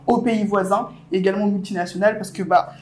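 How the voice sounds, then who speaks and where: A woman's voice: medium pitch, fairly even intonation, fast talker, darkish tone, a little hiss or hum. A woman interviewer speaking with passion in a casual conversation, often filling with "en fait". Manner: impassioned, many "en fait" fillers.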